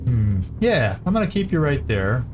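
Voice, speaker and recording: A man's voice: mid-range voice, sing-song, normal speed. Man musing through game moves. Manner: thinking aloud, hesitant restarts while planning moves. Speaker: gameplay commentator. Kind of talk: casual let's-play commentary. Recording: game music playing behind.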